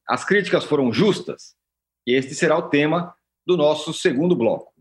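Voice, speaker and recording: A Brazilian man hosting a football podcast, reading a brisk prepared rundown and putting questions to the panel. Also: fairly high pitch. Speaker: podcast host. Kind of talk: scripted show opening.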